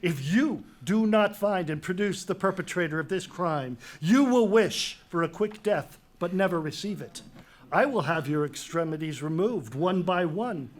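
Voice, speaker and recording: A man's voice: high-pitched, lively intonation, moderate pace. An actor playing a proud, autocratic king in a dramatic reading of Greek tragedy, declaiming emphatically and imperiously. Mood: menacing. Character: Creon, proud autocratic king laying down harsh law.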